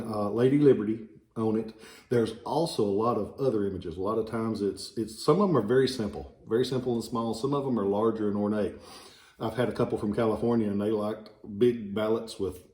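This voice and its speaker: A man's voice: fairly low pitch, steady tone, fairly quick, mellow. A man with a slight Southern American accent, a relic dealer casually showing old ballots, rambling with folksy jokes and asides. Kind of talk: casual informational video. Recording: echoey room.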